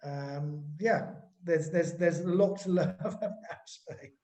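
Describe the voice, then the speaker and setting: A man with an English accent, chatting in a relaxed way as a webinar guest over a video call. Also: fairly high pitch, slow pace. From England. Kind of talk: casual chat. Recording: echoey room.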